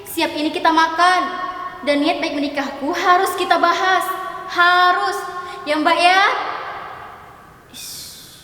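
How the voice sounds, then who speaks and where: A woman reading as a headstrong young woman set on marrying, insistent and teasing, in a high voice. The reading rings through a roomy hall with a long tail of reverb. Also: fairly even intonation, slow pace, pauses often, sharp tone, recorded from a distance, heavily acted. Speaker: dramatic reader. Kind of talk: staged dramatic reading.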